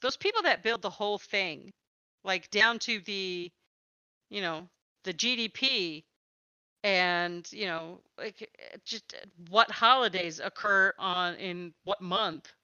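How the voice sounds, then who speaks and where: A woman's voice: mid-range voice, slow pace, pushed and bright, clear, resonant tone, no room sound, dull audio. A woman hosting a casual, chatty podcast about tabletop gaming, with a playful way of talking. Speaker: podcast host and interviewer.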